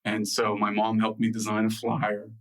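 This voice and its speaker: A man with a low, fairly monotone American voice, recalling his youth for an interviewer. He keeps circling back with fillers and restarts. He sounds a bit distant from the microphone. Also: moderate pace. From United States. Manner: loose, filler-heavy, with frequent restarts. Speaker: guest. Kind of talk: relaxed interview storytelling.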